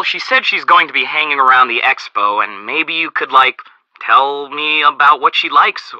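A man's voice: on the high side, bright, forceful tone, dry recording, dull audio, heavily acted. An actor playing a dry, chatty, slightly awkward young male assistant in a video game, with an American accent and casual, wry delivery. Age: young adult. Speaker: author's assistant.

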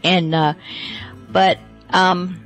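A woman radio host gives casual, rambling introductions. She speaks very slowly and hesitantly in a slightly low voice, with faint music behind her. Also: little pitch movement. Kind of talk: casual introductions between programs.

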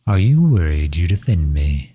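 A man's voice: low voice, very animated, moderate pace, mellow, slightly rough, exaggerated voice. A man playing a refined, courteous villain and inventor in an intimate audio roleplay, speaking formally and solicitously, as if musing aloud. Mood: amused, coaxing. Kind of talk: intimate audio roleplay, ASMR-style acting.

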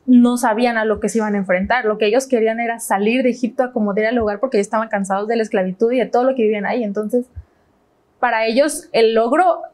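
A woman's voice: on the high side, fairly even intonation, fast, a little mellow. A young woman with a Mexican accent, a panel guest explaining her view in an earnest way. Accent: Mexican Spanish. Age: young adult. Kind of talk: prayer and discussion. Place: TV studio set. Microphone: lapel.

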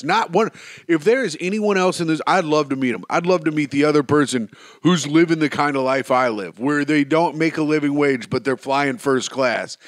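A young man hosting a podcast alone, talking in a rambling, self-mocking way with deadpan asides, his nose stuffed up from a cold. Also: on the high side, no echo, studio quality. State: stuffed up, sniffling. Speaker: podcast host. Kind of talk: solo podcast chat.

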